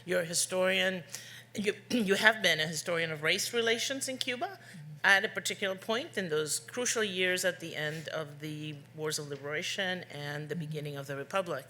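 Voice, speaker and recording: A woman moderating a panel, wry and interjecting with quick remarks. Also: lowish voice, pushed and bright.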